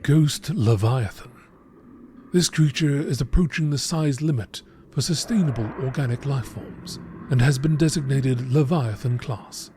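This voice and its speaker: A man reading scripted nature documentary narration in a measured, deliberate, dramatic manner. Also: medium pitch, a little mellow, a little hiss or hum. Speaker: narrator reading game lore entries.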